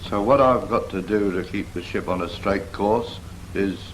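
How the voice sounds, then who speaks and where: Brief: male actor as firm, patient British naval instructor, lowish voice, brisk teaching questions, noisy 1940s film soundtrack. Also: lightly acted. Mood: instructive. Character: patient, brisk Royal Navy instructor teaching a novice helmsman. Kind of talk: scripted 1940s training film dialogue.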